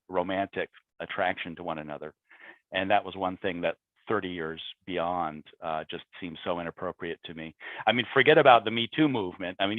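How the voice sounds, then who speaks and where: Low-voiced middle-aged man, phone-like sound. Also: no room sound. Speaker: playwright. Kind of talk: casual explanatory talk.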